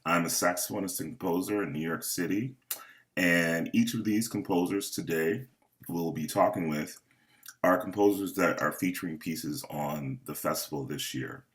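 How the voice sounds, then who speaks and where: A man hosting and moderating a panel of composers over a video call, speaking in an unhurried, earnest way. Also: deep voice, a bit bright. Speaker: host and festival curator.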